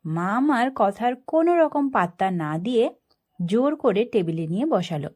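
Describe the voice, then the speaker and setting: A woman storyteller narrating a first-person story in an expressive, dramatic way. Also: mid-range voice, normal speed.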